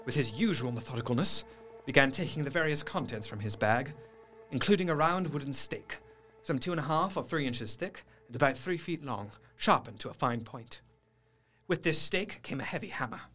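A man's voice: mid-range voice, animated, normal speed, pushed and bright, soft background music. A man playing an earnest diarist recounting horrors in a gothic audio drama, with formal, intense, literary narration. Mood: grim, sombre.

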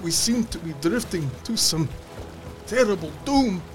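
An actor playing a weary, fearful sea captain in an audio drama, reading his log in grave, halting, clipped phrases. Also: high-pitched, animated, over music. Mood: grim, weary. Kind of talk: dramatic first-person log narration.

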